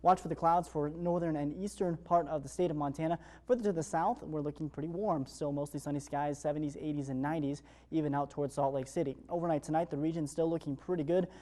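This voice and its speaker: A male television meteorologist delivers a morning forecast in upbeat, ad-libbed broadcast patter. He moves through it at a brisk clip. His tone is smooth-edged and mellow, without sharpness. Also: fairly high pitch. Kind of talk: live weather forecast presentation.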